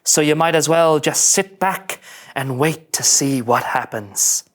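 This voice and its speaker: A man's voice: a little high, close to an everyday voice. A man at a table read playing a brooding, self-serious starship officer who narrates his log in a portentous manner. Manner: slow, portentous.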